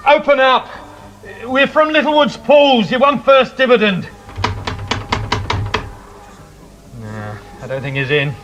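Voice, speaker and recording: An actor playing a grumbling, cheeky police detective who gripes loudly in a radio drama. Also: male voice, very high-pitched, lively intonation, moderate pace, lo-fi sound, background noise. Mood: cheeky, impatient. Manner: griping, loud.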